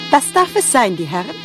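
An actress playing a giggly, coyly servile young waitress in a satirical radio play. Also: female voice, extremely high pitch, exaggerated voice.

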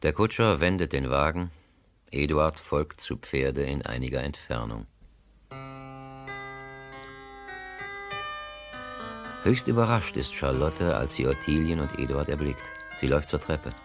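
A man narrating a literary radio play as a solemn, measured storyteller. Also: a bass voice, expressive, faint music behind. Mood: measured, dramatic. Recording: dry studio sound.

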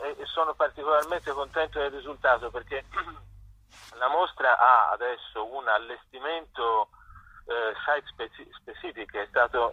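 A man's voice: lowish voice, sharp tone, breathy edge, no room sound. A middle-aged man answers interview questions conversationally, hesitating and repeating himself as he searches for words. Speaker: interviewed visual artist. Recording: dull, telephone-like radio sound.

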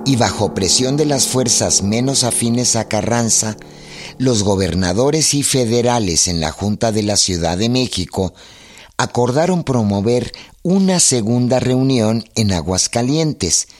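A man giving formal, measured narration for a historical radio drama. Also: medium pitch, expressive. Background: instrumental music.

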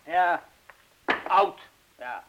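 An actor playing a weary, irritable middle-aged man in a radio drama, speaking haltingly in broken-off phrases. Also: high-pitched, lively intonation, dull audio, noisy background, full character voice. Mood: weary, grave.

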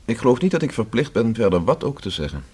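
Husky, guarded engineer under questioning. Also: male voice, medium pitch, big pitch swings, fast, darkish tone. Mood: wary. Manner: reticent, formal, wary. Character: guarded, uneasy male engineer being questioned. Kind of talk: radio play acting.

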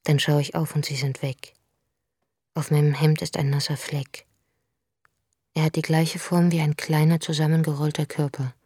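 Low-voiced, searching, earnest wife. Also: female voice, flat delivery, normal speed, pauses often, dark tone, breathy edge. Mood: tender, wistful. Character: intense, spiritually searching unhappy wife named Rachel. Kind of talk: radio drama acting.

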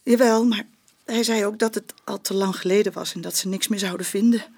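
Radio drama casting: actress as anxious Dutch mother under police questioning, husky middle-pitched voice, halting and defensive. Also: fairly even intonation, darkish tone, close to an everyday voice. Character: anxious mother recounting her daughter's abuse to police. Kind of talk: naturalistic radio drama acting.

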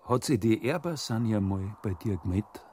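A man's voice: lowish voice, expressive, moderate pace, mellow, slight background noise, natural voice. An actor playing an uneasy village man with a Bavarian accent, hesitant yet insistent, in a radio crime drama. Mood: cautious, probing. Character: probing, uneasy village man cautiously questioning an acquaintance. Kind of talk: German radio crime drama acting in dialect.

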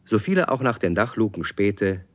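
A man's voice: fairly low pitch, sing-song, moderate pace. A man narrating a children's radio play as a scripted storyteller. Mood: storytelling.

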